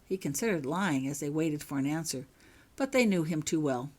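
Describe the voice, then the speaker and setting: A woman's voice: deep voice, expressive, moderate pace, a little mellow. A woman reading a scripted story aloud as its author and narrator. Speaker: author reading own fan fiction.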